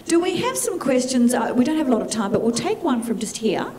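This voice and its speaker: A woman in her fifties moderating a conference Q&A with a handheld mic, chatty and warm, often restarting her sentences. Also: high voice, big pitch swings, fairly quick, a crowd in the background. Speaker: event moderator. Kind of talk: moderating a panel Q&A. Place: large conference hall with audience.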